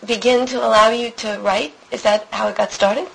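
Woman interviewer with quick friendly prompts. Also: fairly high pitch, steady tone, vocal fry, a bit bright, slight background noise, poor audio. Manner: short responsive prompts and laughs. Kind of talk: interview, encouraging replies.

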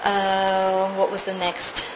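A woman's voice: medium pitch, monotone, slow, muffled recording, background noise. A woman, a stage actress, recounting her theater career in a casual interview, laughing now and then.

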